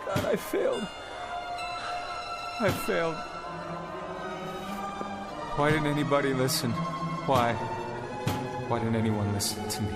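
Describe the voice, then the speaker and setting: An actor in a radio play voicing an agitated, desperate time traveler, urgent and pleading, faltering when dazed. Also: male voice, medium pitch, huge pitch swings, takes it slowly, pauses often, heavily acted. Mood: anguished. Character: desperate, agitated male time traveler trying to avert an assassination. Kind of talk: dramatic radio play acting. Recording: music bed behind the voice.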